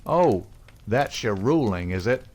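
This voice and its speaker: A man's voice: lowish voice, big pitch swings, measured pace, darkish tone. Man voicing a furious, jealous young thug. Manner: furious, snarling. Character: jealous, hot-tempered young male thug.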